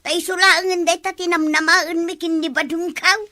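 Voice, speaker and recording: A woman's voice: very high voice, level tone, normal speed, sharp tone. A woman with an Ilocano accent playing a fretful, nagging elderly village woman in a broad comedy. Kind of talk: broad barangay comedy acting.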